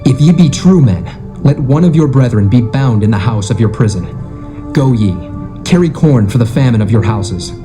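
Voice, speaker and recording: An actor playing a stern, commanding governor of ancient Egypt in a dramatised scripture reading, in formal archaic speech. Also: male voice, medium pitch, lively intonation, normal speed, natural voice. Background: music.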